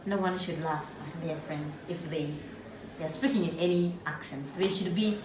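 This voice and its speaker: A woman's voice: fairly low pitch, normal speed. A woman, interviewing a student in casual conversation with lots of encouraging hums. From Uganda. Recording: echoey room, far from mic, background noise.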